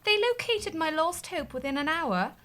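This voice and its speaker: Earnest british office boss lady, gullible and excitable, bright forceful voice, very lively up and down. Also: very high voice, normal speed, soft background music, heavily acted. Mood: moved. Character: earnest, gullible, excitable female bureau manager. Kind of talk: audio comedy acting.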